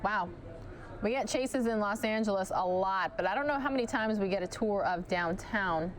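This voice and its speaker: A woman news anchor giving live commentary on a police chase, chatting directly with viewers, her voice starting to go hoarse. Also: mid-range voice, moderate pace, poor audio. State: losing her voice.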